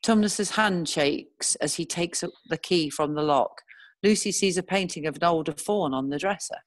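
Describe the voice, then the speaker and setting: A woman narrating screenplay stage directions in a measured, descriptive reading style. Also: fairly low pitch, dry recording.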